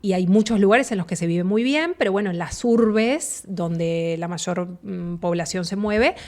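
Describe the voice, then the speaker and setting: Woman, Argentine accent, radio interview guest, fluent explaining, middle-pitched. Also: unhurried. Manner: fluent, explanatory, animated asides. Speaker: relocation agent, guest.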